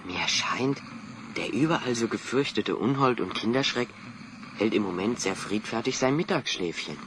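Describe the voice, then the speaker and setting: An actor playing a level-headed young adventurer hero, articulate and courteous, in a children's audio drama. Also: male voice, medium pitch, expressive, normal speed, noisy background, close to an everyday voice. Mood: resolute, cautious.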